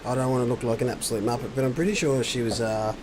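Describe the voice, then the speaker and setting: A man's voice: medium pitch, steady tone, quick pace. Chatty Australian man, rambling commentator. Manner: rambling, jokey storytelling. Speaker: sim racing commentator. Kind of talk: casual race commentary chat. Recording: noisy, with background hum.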